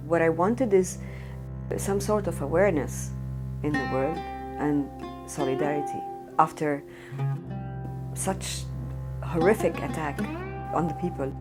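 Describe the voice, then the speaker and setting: A woman theatre director talking earnestly in an interview, pausing often with fillers. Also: deep voice. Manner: earnest, halting with frequent fillers. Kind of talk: documentary interview.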